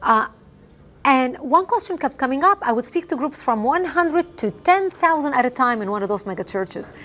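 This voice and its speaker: Middle-aged woman from Lebanon, Arabic native speaker, passionate interview testimony, slightly higher voice. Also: lively intonation, moderate pace, fairly bright tone. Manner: emphatic, repeats phrases for effect. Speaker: interview guest. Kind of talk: impassioned testimony.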